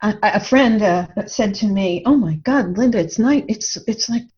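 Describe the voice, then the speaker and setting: A woman's voice: medium pitch, moderate pace, darkish tone. A woman speaking as an interview guest in an earnest, reflective way, telling personal stories. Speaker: podcast guest, writer and coach. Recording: dull lo-fi audio.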